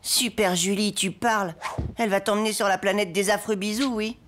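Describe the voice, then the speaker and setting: A woman's voice: medium pitch, fairly even intonation, a little fast, faint music behind, natural voice. A woman voicing a small, jealous teddy bear friend in a cartoon, sulky and sarcastic.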